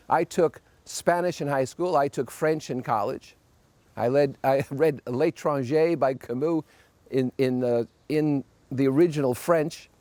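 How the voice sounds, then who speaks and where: A man's voice: on the high side, a little mellow, dry recording. An older man from New Jersey with a New York accent, giving an emphatic, opinionated monologue with wry asides as a podcast host. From New Jersey, United States.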